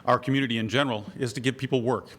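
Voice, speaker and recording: A man running for office, speaking brisk and assertive at a forum, his voice a bit scratchy from a cold. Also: medium pitch, steady tone, fairly bright tone. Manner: brisk, assertive, rushes then slows down. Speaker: political candidate. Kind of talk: candidate forum statement.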